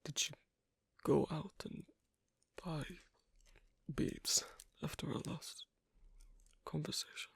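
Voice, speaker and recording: A performer voicing a shy, awkward, asocial young werewolf in an intimate ASMR roleplay, speaking softly and haltingly in a breathy, husky near whisper. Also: mid-range voice, steady tone, dry recording, clean studio sound, full character voice. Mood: shy, touched. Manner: hesitant, halting, soft-spoken with long pauses.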